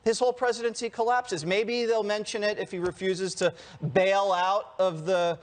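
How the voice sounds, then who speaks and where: A man with an American accent and a notably high-pitched voice. He talks as a panelist on a political talk show, delivering opinionated remarks in a sardonic manner. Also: level tone, normal speed.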